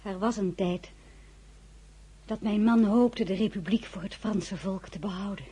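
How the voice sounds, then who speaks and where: An actress playing a weary but composed crown princess in a radio drama, courteous and sometimes hesitant. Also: female voice, medium pitch, a little slow, dark tone, breathy edge. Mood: formal, guarded.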